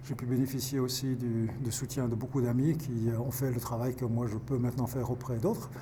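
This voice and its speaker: A man in his fifties, a pastor volunteering as a tutor, answering interview questions in a halting way with many restarts. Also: medium pitch, level tone, mellow, a little hiss or hum. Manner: halting, restarts words often.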